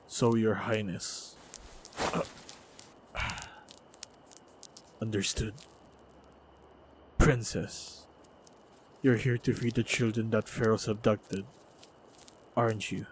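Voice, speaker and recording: A stoic, wounded lone monster hunter, acted by a man in a fantasy audio drama. His voice is low and stays very even, coming out in guarded, halting phrases. Faint noise and ambience sit behind him. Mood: calm, stoic. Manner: measured, halting, guarded delivery with heavy sighs. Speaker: voice actor in audio roleplay. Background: faint ambient sound effects.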